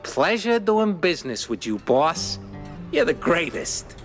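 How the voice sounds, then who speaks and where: An actor playing a sly, ingratiating small-time hustler sidekick in a cartoon noir game. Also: male voice, fairly high pitch, sing-song, measured pace, over music. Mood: smug, flattering. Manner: wheedling, ingratiating.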